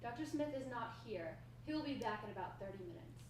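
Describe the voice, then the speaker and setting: A woman's voice: a little high, expressive, fast, a little mellow, natural voice. A woman in a table read playing a stern, suspicious housemaid with formal, curt phrasing. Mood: firm. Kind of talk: amateur screenplay table read. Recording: echoey room, distant mic, faint noise.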